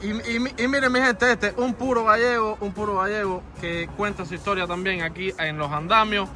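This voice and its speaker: Man, high voice, vlogger-style interviewer on the scaffolding, outdoor phone sound with music behind. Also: steady tone, fairly quick, bright, forceful tone. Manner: chatty, laughing, repeats words. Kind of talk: casual on-site interview. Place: outdoors on scaffolding high above a city street.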